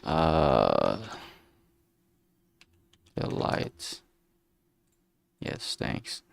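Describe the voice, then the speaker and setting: A man streaming as he works, thinking aloud casually in a halting way and muttering to himself. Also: rumbling low, sing-song, gravelly, a little hiss or hum. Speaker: streamer making a visual novel. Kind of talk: casual think-aloud art livestream commentary.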